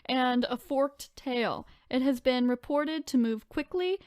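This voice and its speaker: A woman's voice: high-pitched, slow pace, a little mellow, smooth, no echo, clean studio sound. A woman co-hosting a podcast in casual chat, informal and chatty, sometimes reading out descriptions with asides. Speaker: podcast co-host.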